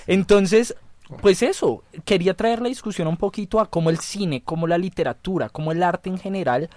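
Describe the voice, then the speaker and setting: A man's voice: sits high, moderate pace, a little mellow, dry recording. A man from Colombia, a producer joining a radio panel, talking in a joking, playful way into a studio mic. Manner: jokey, playful. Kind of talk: casual radio talk. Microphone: studio microphone.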